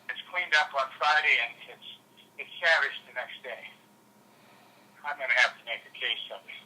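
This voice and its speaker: Agitated American man, sharp phone-line voice. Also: mid-range voice, normal speed. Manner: agitated, insistent, profane. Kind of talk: heated private phone call, venting. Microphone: telephone. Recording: tinny telephone line.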